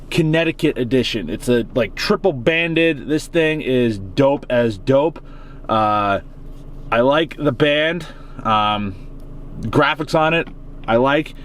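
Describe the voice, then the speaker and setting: A man's voice: mid-range voice, a little hiss or hum. A young man with a Massachusetts accent chatting casually and rambling as he reviews a cigar from his car. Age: young adult. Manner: rambling, casual, swears freely. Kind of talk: casual vlog chat. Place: in his car on a rainy day.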